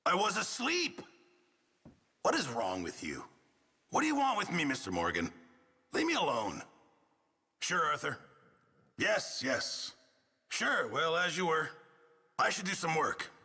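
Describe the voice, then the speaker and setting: Flustered grumbly middle aged camp cook from a cowboy game, slow and raspy, kinda self-important. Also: male voice, a little high, huge pitch swings, pushed and bright, long reverb tail, full character voice. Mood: annoyed. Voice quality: gravelly, husky. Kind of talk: video game character barks.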